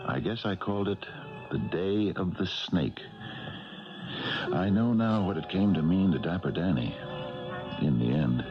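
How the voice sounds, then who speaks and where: An earnest retired policeman in an old radio play. His voice is extremely deep and gravelly, halting and understated. The dull broadcast sound has music behind it. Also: expressive. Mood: brooding, reflective. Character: retired policeman, earnest, hesitant, worried and kindly. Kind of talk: 1970s radio drama acting with first-person narration.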